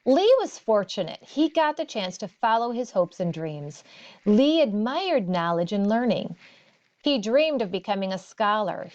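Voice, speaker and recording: Slow-paced woman teacher reading aloud. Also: medium pitch, a little mellow, lo-fi sound. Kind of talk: read-aloud of story and textbook.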